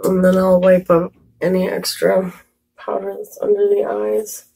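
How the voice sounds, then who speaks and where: A woman with a scratchy, slightly hoarse voice, chatting casually through a makeup tutorial with rambling asides and fillers. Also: mid-range voice, level tone, measured pace, warm tone. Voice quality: scratchy, raspy voice. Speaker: makeup vlogger.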